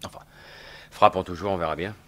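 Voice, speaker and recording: An actor playing a commanding, inquisitive gentleman sleuth in a French radio mystery, polite and assured. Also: deep voice, fairly even intonation, brisk, noisy background, close to an everyday voice. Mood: probing. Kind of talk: 1950s-style French radio mystery acting.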